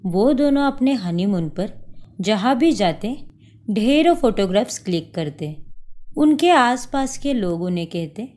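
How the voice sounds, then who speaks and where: Slow, measured woman narrator. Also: medium pitch, lively intonation, a little mellow. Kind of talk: storytelling narration.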